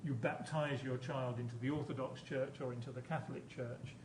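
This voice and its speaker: Male professor, hesitant lecture delivery with repeated words, mid-pitched, in an echoey room. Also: steady tone, a little fast. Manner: hesitant, repeats words and phrases while explaining. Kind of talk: academic conference lecture. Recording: echoey room, distant mic, background noise.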